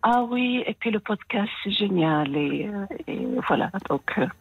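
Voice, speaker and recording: A woman's voice: mid-range voice, animated, unhurried, slightly rough, no room sound. A woman in her sixties with a slight American accent in her French, calling in to a radio show and talking hesitantly with many fillers. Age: 60s. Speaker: caller. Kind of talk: phone-in confession. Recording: dull long-distance phone line.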